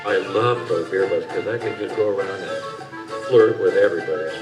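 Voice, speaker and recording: Man, very low voice, documentary interviewee, reminiscing; played back in a roomy hall over music. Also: brisk, far from the mic. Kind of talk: interview testimony. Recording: film trailer played in an echoey hall.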